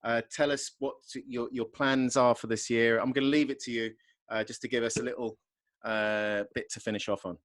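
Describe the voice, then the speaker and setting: A man's voice: mid-range voice, level tone, normal speed, a bit bright. A middle-aged man with an English accent, hosting a loose, jokey podcast interview with many ums. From England. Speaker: podcast host. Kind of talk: casual interview over video call. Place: plain home room. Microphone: large podcast mic on arm.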